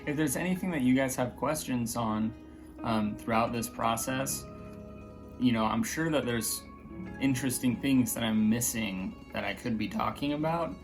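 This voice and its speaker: A man with a slightly raspy voice chatting casually in a vlog, hesitant, with many fillers and restarts. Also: medium pitch, moderate pace, over music. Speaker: vlogger.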